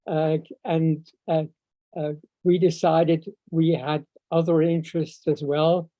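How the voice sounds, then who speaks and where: An older man, a native Swiss German speaker with a Swiss German accent, giving an informal spoken tribute over a video call, halting and often searching for words. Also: a little high, little pitch movement. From Switzerland. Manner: halting, searching for words, frequent long hesitations. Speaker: speaker at a memorial seminar. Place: video call, seated indoors. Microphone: video call microphone. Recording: muffled, echo-free video call audio.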